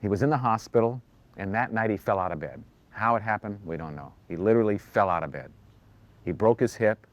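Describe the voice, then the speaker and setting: A man's voice: fairly low pitch, brisk, no echo. A man speaking in a plain, matter-of-fact way as he recalls memories in an interview. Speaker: interviewee recalling his father. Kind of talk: reminiscing in a documentary interview.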